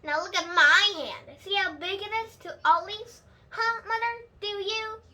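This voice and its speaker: A woman's voice: very high voice, a little slow, sharp tone, pitched above the performer's own voice, exaggerated voice. A woman voicing a huffy, boastful little girl who insists she is grown-up in a storybook, stressing words emphatically.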